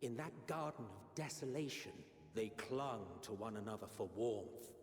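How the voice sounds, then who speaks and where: A man's voice: medium pitch, close to an everyday voice. Man acting as a solemn chronicler in echoing reverb. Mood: solemn, brooding. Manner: measured, solemn, literary. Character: solemn male chronicler recounting a bleak history. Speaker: narrator. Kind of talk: dramatic scripted narration. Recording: echoey hall reverb, music behind.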